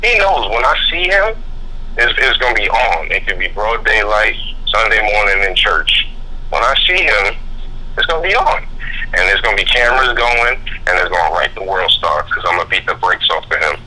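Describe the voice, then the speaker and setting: A man speaking as a guest on a talk radio show, heated and profane, telling his story fast and forcefully. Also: on the low side, very animated. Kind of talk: angry call-in radio talk. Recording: phone line.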